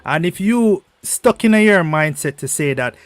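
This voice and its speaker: Jamaican man on a panel, high voice, dry sound, keeps cutting in with hold on hold on. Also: lively intonation, a little slow, dry recording. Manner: cuts in, argumentative, repeats words. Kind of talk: heated panel debate.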